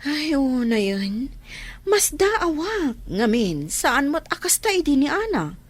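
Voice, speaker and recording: Lively, concerned Filipino big sister. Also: female voice, high voice, takes it slowly, full character voice. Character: concerned, sympathetic older sister figure. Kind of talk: Filipino radio drama acting.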